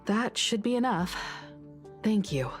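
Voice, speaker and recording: A woman's voice: medium pitch, lively intonation, slow, faint music behind. A woman voicing a composed, vigilant strategist in a video game, speaking seriously. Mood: composed, alert.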